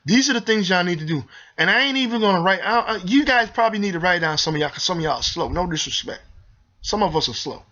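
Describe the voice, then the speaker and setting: A man on a heated vlog rant, talking fast and hard in a somewhat high, tense voice. He preaches and repeats himself, calling out to his viewers directly. The recording sounds dull and lo-fi. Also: animated, bright, forceful tone. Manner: heated, preachy, repetitive, addresses viewers as y'all. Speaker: video host.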